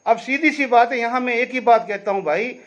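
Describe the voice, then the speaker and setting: A man's voice: extremely high pitch, brisk, highs cut off. A man giving direct commentary on veterans' affairs, talking emphatically to his listeners, explaining and pleading with them and repeating a tag question as he goes.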